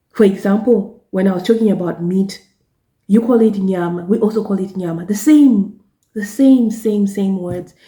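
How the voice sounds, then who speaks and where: A woman from Zimbabwe with a Zimbabwean accent, Shona her first language, talking casually to camera in a slow, halting way with many repeated words and restarts. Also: medium pitch, warm tone, roomy sound. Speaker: travel vlogger and gospel singer.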